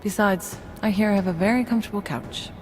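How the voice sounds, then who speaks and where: An actress playing a calm, self-assured woman in a video game, unworried and dryly humorous. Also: mid-range voice, quick pace, lightly acted. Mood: reassuring. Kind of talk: cinematic video game acting. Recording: echoing hall, music behind.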